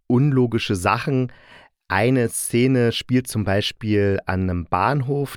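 A man with a fairly low voice speaks in a very dry, close recording. He reviews in a casual, colloquial style, opinionated and quick to pick at small details. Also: no room sound. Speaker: audio drama reviewer and host.

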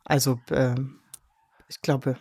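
A woman's voice: extremely low pitch, little pitch movement, slow pace, warm tone, breathy edge, no room sound. A woman talking casually as an interview guest, informal and given to voicing imagined quotes. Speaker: radio drama director, interview guest.

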